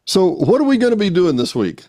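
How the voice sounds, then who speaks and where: A man's voice: high-pitched, very animated, fairly quick, dark tone. A man hosting an interview in a casual, conversational style. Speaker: interview host. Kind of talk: casual chat.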